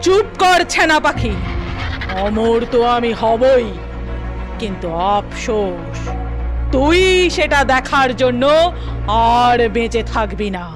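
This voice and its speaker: A woman voicing an evil, menacing vulture witch in a cartoon, gloating and vengeful in a slow, deliberate way. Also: on the high side, lively intonation, over music. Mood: gloating menace.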